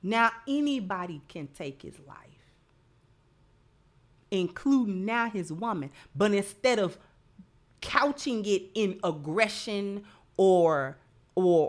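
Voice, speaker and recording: A woman giving opinionated live commentary as a host, emphatic, repeating phrases and posing rhetorical questions. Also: mid-range voice. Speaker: livestream host.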